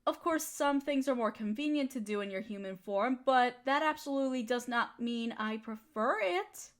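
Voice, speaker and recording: A woman's voice: on the high side, moderate pace, lightly acted. A woman playing an affectionate, teasing girlfriend in an intimate comfort roleplay, soothing and cooing as she pampers her partner. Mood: reassuring. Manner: cooing, soothing pet-talk. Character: affectionate, teasing, nurturing girlfriend of a fox spirit. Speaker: audio roleplay voice performer.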